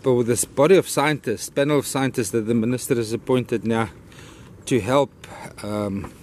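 Afrikaans-accented man, mid-pitched, rambling outdoor vlog talk on a camera mic, faint background noise. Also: moderate pace, darkish tone. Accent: Afrikaans-accented English. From South Africa. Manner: rambling, grateful, talks fondly to his dog. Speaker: vlogger. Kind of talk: casual vlog narration while walking a dog. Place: outdoors on a beach and a wooden boardwalk trail.

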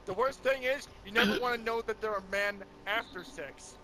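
A man's voice: high voice, moderate pace, background noise. A man in casual gaming voice chat with friends, playful and giggly, often putting on mock outrage. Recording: voice chat over loud game audio. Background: gunfire and vehicle sounds from the game.

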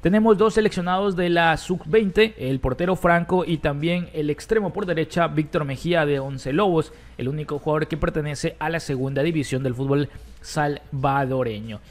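A man hosting a football news roundup, his voice sitting a bit high. He moves briskly from item to item and reads quotes aloud. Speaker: sports news presenter.

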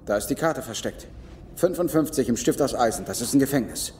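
A man's voice: medium pitch, expressive, fairly quick, darkish tone, a little hiss or hum, close to an everyday voice. A man acting in a film dub as a blunt, stubborn henchman. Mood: insistent. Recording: distant, echoey, under film music.

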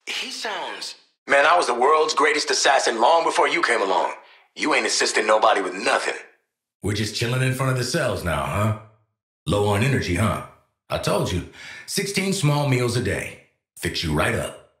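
A cocky American hitman from a video game, a cynical man who is also a protective dad. His delivery is streetwise and wry, full of slang, with very varied intonation and a bright, forceful edge. The recording has some room echo around it. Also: mid-range voice, sing-song, moderate pace. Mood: subdued, sincere, then irritated. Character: cynical, cocky male assassin and protective father. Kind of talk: video game character voice lines.

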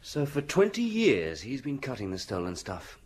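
An actor playing an attentive, questioning junior police detective in a radio drama. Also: male voice, mid-range voice, wildly swooping pitch, moderate pace, a little mellow, breathy edge. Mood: puzzled, observant.

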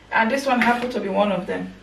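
A woman in her thirties chatting casually as she cooks in her home kitchen, recorded on a camera mic set up across the room. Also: on the high side. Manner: chatty, talks while chopping and cooking. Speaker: cooking vlogger. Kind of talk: casual cooking narration. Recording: echoey kitchen, far from mic, background noise.

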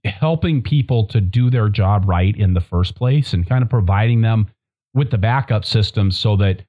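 A man's voice: fairly low pitch, normal speed, dull audio. A man hosting a farming podcast, thoughtful and emphatic in conversation. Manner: thoughtful, emphatic, lively inflection. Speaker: podcast host. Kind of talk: conversational interview.

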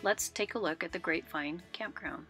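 A woman giving a scripted voice-over as a travel vlogger, with a clear, informative delivery. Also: lowish voice, moderate pace, loud music behind the voice.

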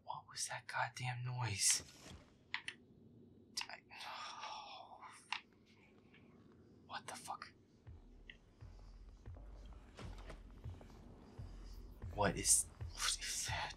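A man playing a feisty, foul-mouthed werewolf boyfriend grumbling through a video game in a breathy, gravelly mutter. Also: mid-range voice, steady tone, extremely slow, pauses often, in a whisper. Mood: annoyed, uneasy. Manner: irritable, sweary grumbling. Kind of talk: gameplay roleplay audio, muttered reactions.

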